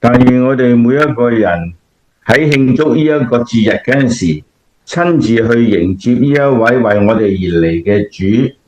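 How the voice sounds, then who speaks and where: A man's voice: medium pitch, expressive, moderate pace, a little mellow, close to an everyday voice. A man with a Cantonese accent playing a kindly, devout grandfather who explains things patiently in a scripted radio drama. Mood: solemn, devout. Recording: dull, lo-fi audio.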